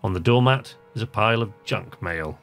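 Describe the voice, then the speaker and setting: Low, gravelly man commentating on a game. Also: moderate pace, loud music behind the voice. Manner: chatty, muttering to himself while playing. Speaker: let's play host. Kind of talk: casual gameplay commentary, reading on-screen text aloud.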